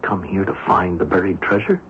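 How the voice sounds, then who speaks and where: An actor playing an excitable, grumbling, skeptical police inspector in a radio mystery drama, with folksy exclamations. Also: male voice, deep voice, a little fast. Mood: puzzled, surprised. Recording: dull, noisy old radio drama recording.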